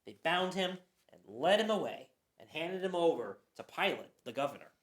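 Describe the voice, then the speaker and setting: A woman giving a dramatic Bible reading, expressive, with occasional stumbles. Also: low voice, moderate pace. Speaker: scripture reader. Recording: roomy echo.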